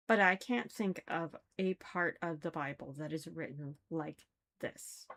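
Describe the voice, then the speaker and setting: Slow-talking woman, halting and critical. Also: low-pitched, fairly bright tone. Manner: halting, repeats phrases for emphasis, critical. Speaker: reader commenting on a religious text. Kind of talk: casual spoken commentary, thinking aloud.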